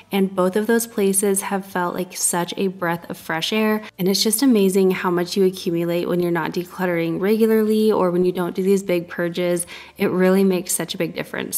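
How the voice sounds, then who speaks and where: A woman's voice: medium pitch, a little mellow. A young woman vlogging about cleaning her home, chatting with viewers in an eager, direct way. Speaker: homemaking vlogger. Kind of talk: upbeat cleaning vlog talk.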